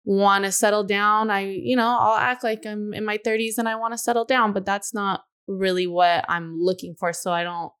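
A woman's voice: medium pitch, level tone, fairly bright tone, clear, resonant tone. A woman in her twenties chatting candidly on a podcast, musing aloud with lots of "you know" and "like". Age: 20s. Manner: candid, confessional, drawn-out thoughts with frequent "you know". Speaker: podcast co-host. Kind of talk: casual podcast chat.

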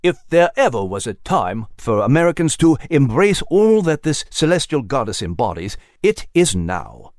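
A man's voice: mid-range voice, very animated, moderate pace, few pauses, darkish tone, dry recording, studio quality, close to an everyday voice. A man voicing a scholarly, dapper British mouse with a British accent and florid, slightly pompous diction in a storybook. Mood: solemn, declaiming.

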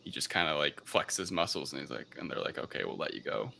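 A man hosting a podcast, talking casually through a film review in a halting, rambling way. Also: rumbling low, expressive, gravelly, bright, forceful tone, slight background noise. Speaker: podcast host.

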